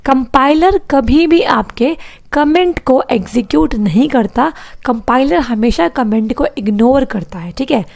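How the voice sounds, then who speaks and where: A woman's voice: high-pitched, darkish tone. A woman teaching programming in an online exam-prep class, with a sore throat, explaining step by step and repeating key points.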